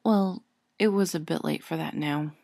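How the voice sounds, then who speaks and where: A woman's voice: deep voice, moderate pace, vocal fry, dark tone, dry recording, studio quality. A woman narrating a scripted work of fiction as an audiobook reader.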